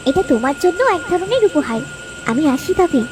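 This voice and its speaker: Woman playing a very high-voiced benevolent fairy. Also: sing-song, brisk, over music. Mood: solemn, admonishing. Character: gentle, solemn fairy granting gifts to the honest. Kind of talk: Bangla cartoon voice acting.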